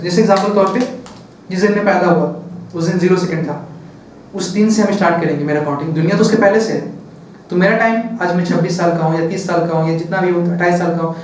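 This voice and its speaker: A man's voice: sits high, slight background noise. A male physics teacher giving a classroom lecture, who explains step by step, repeats words and answers his own questions. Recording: echoey room, voice from far off.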